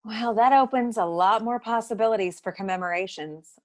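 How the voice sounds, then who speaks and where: An actress playing a practical, skeptical sleuthing partner in a comedic noir audio play, brisk and wry. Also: female voice, mid-range voice, lightly acted.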